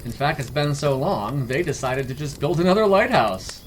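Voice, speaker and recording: A well-to-do, middle-aged merchant in a fantasy tale, played by a male narrator. He is courteous and chatty, with a slightly raised pitch. His delivery rises and falls in a very animated way. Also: normal speed, a little hiss or hum, natural voice.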